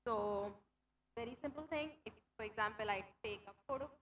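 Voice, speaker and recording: Indian english lady presenting at a conference, bright voice, lots of uh and restarts while explaining. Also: fairly high pitch, a little fast. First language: Hindi. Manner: explanatory, frequent fillers and restarts. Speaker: conference speaker. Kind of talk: tech talk with live demo. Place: conference hall.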